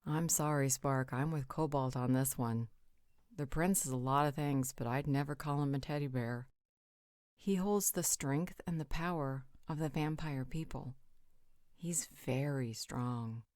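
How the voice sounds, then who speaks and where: A woman plays a sassy yet caring female pack member in an audio roleplay. Her voice sits low, and the sound is close and dry. She moves between teasing and reassurance. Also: unhurried, darkish tone, no echo. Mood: thoughtful. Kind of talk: roleplay audio drama acting.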